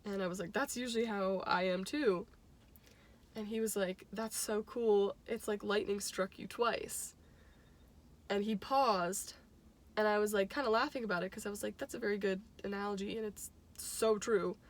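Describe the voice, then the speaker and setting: Young woman, medium pitch, casual chatty storyteller, animated and giggly, quotes conversations. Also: moderate pace. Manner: animated, giggly, quotes dialogue as she goes. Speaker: teacher and music fan telling a personal anecdote.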